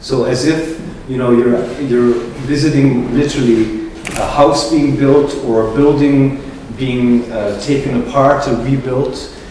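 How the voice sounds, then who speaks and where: A man gives a talk to visitors in a large gallery, his voice bouncing around a very echoey room. He is picked up from some distance, with steady ambient noise underneath. His delivery is measured and reflective. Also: medium pitch. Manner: measured, reflective, searching for words. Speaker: curator hosting a gallery talk. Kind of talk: public talk introducing an artist.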